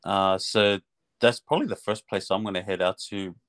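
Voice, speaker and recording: A man's voice: low voice, fairly even intonation, normal speed, fairly bright tone. A man from Australia with a lightly New Zealand-tinged accent, chatting casually as a podcast guest in a laid-back, slangy way. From Australia (Melbourne). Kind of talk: casual chat.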